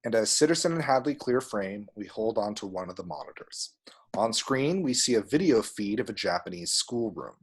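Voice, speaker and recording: A man's voice: lowish voice, expressive, moderate pace, husky. A man reading screenplay action descriptions as scripted narration at a table read.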